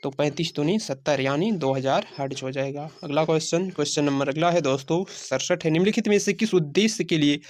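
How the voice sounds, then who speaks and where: A man's voice: on the high side, brisk, few pauses, slight background noise. A man teaching a quiz-style lesson, repeating phrases and answering his own questions. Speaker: teacher of exam-prep science class.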